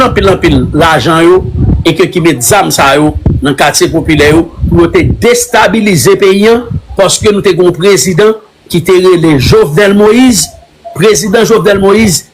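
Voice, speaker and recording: Haitian man, high voice, halting French. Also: slight background noise, rough recording. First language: Haitian Creole. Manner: halting, repetitive French, with many Creole words. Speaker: interviewee. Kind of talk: live online conversation.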